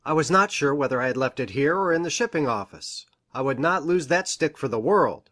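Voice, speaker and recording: Give this storybook figure a lively, swooping delivery from a woman putting him on. He is an eccentric man of science and medicine, pedantic and formal, pitched down low. His manner is earnest and effusive, with great care over exact wording. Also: a little fast. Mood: earnest, puzzled. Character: eager, pedantic, eccentric male country doctor and scientist. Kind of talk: audiobook character voice.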